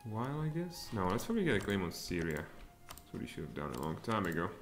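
A man in his thirties with a Czech accent, streaming a strategy game and thinking aloud, with sudden excited outbursts. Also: low voice, quick pace. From Czech Republic. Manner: mutters thoughts aloud, then bursts out excitedly. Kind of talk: live strategy game commentary. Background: faint music and keyboard typing.

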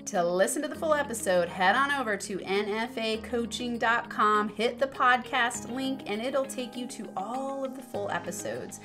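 A woman's voice: on the high side, moderate pace, few pauses. A woman hosting a podcast, reading an upbeat, inviting outro. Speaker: podcast host. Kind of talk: scripted outro. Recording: voice-over over clear music.

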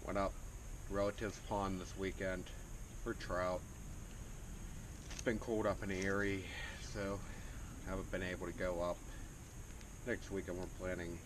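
A man's voice: low voice, level tone, measured pace, pauses often. A young man from Pennsylvania hosting a fishing channel, talking casually to a camera set up a few steps away outdoors by a wooded pond, rambling a little with fillers and restarts. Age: young adult. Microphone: camera on a tripod a few steps away. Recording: distant outdoor camera audio with wind and background noise.

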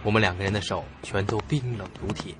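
A man's voice: lowish voice, fast, heavy background noise. A man narrating a suspense story in a dramatic, theatrical audio-drama style. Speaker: first-person narrator of a horror story.

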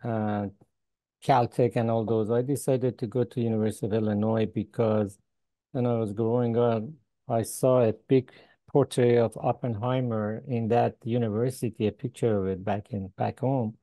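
An older Iranian-accented man speaks in a very dark, mellow voice, with dry, close sound. He pauses often with "uh" and chuckles between points, talking over a video call from a small room at home. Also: mid-range voice, fairly even intonation, dry recording, rough recording. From Iran. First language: Farsi. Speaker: guest professor. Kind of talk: interview answers. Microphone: video call with earbuds.

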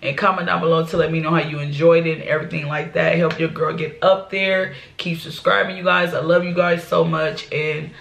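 A young woman hosting her reaction channel talks casually, with lots of "y'all" and "you know". She is stuffed up, and her voice has a rough, gravelly fry. She records on a laptop webcam in a small bedroom that gives the sound an echo. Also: low-pitched, little pitch movement, fairly quick, hardly any pauses, a bit bright. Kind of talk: casual chat to viewers. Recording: echoey small room.

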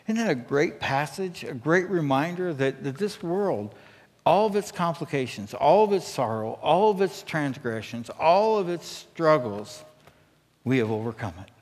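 A man's voice: fairly high pitch, expressive, a little slow, a little mellow. American male preacher in a reverberant hall. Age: middle-aged. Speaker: pastor. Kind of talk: conversational sermon. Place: church stage at a pulpit, indoors. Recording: echoey hall with long reverb.

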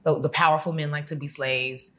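Low-voiced, matter-of-fact American woman. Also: steady tone, a little fast, a little hiss or hum. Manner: matter-of-fact, low-key, soft-spoken. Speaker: interview guest. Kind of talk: casual interview. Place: studio set with lights.

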